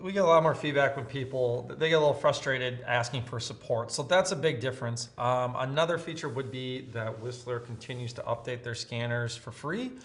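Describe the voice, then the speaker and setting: A man in his thirties talks through a product comparison in a casual, conversational style. His voice sits at an average pitch for a man. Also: a little fast, hardly any pauses. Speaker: product reviewer. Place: room with brick wall, at a table.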